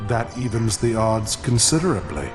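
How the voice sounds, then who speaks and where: A man playing a formal, solemn, analytical alien superhero in a video game, with measured phrasing. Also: lowish voice, sing-song, over music.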